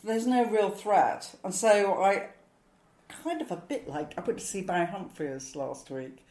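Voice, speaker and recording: A woman's voice: medium pitch, expressive, a little slow, darkish tone, echoey room. A woman in her sixties, a stand-up comedian, answering interview questions in a chatty, rambling, self-mocking way with frequent laughs. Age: 60s.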